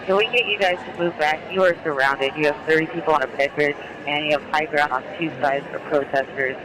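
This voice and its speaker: A man's voice: a little high, steady tone, a little fast. A male police officer directing units over a police radio in dispatch talk. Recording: noisy police scanner, outdoor traffic noise.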